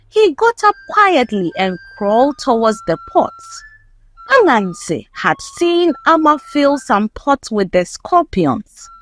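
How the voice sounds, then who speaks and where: Woman, folktale narrator, expressive storybook reading, unhurried pace, animated pitch. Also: slight background noise. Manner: measured, expressive storybook delivery. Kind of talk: scripted folktale narration read aloud.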